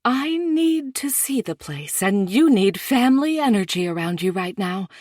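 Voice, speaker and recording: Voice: medium pitch, sing-song, normal speed, darkish tone, dry recording, studio quality, pitched above the performer's own voice. A reader putting on a pushy new-age mom. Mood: insistent. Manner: insistent, talks over others. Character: anxious new-age mother, older woman.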